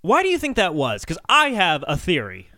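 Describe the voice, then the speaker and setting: A man's voice: sits high, huge pitch swings, normal speed, pushed and bright, no room sound, clean studio sound. A man co-hosting a podcast, bantering comically about songs with mock-serious, emphatic reasoning. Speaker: podcast co-host. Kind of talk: comic song-critique banter.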